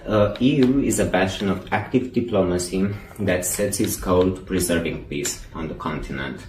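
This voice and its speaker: A man's voice: deep voice, a little slow, darkish tone. A man giving a short prepared statement in an earnest manner. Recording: echoey room with faint noise.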